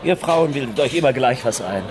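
A man's voice: mid-range voice, animated, fairly quick, a crowd in the background, lightly acted. An actor playing an anxious, reluctant man drawn into a murder plan, hesitant and stammering, in a radio crime drama. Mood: evasive, uneasy.

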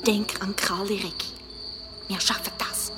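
A woman's voice: medium pitch, flat delivery, unhurried, heavy background noise, close to an everyday voice. An actress playing a bold, bossy, resolute young girl in a children's audio drama. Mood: tense, whispering plans. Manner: resolute, scolding.

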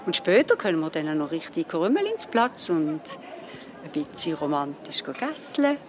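An actress playing an excitable middle-aged aunt with a Swiss German accent, chatty and gushing with enthusiasm in a radio drama. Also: female voice, sits low, normal speed, a crowd in the background, noisy background.

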